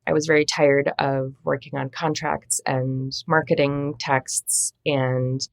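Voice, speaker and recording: A woman's voice: low voice, flat delivery, slow, gravelly, no echo, clean studio sound. A woman, a translator and editor answering interview questions in a reflective, self-deprecating way, laughing often and restarting phrases. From United States.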